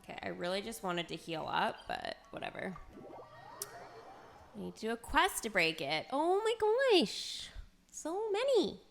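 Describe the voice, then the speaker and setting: A woman's voice: mid-range voice, sing-song, measured pace, creaky, fairly bright tone. A woman live-streaming a video game, chatting casually to viewers and breaking into exclamations with mild minced swearing. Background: game music.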